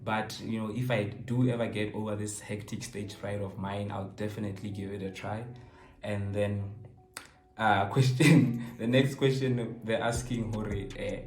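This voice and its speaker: A man's voice: lowish voice, flat delivery, moderate pace, darkish tone. A South African man in his twenties chatting casually and jokingly to camera, switching between languages. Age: 20s. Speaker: YouTuber answering viewer questions. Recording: roomy echo, faint music behind.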